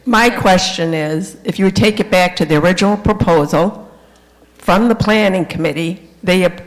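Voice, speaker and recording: A man's voice: sits high, moderate pace, rough recording. A man at a board meeting who keeps pressing an insistent question about liability.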